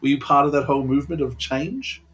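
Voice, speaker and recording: A man's voice: mid-range voice, fast talker, fairly bright tone. A man asking questions conversationally as a podcast interviewer.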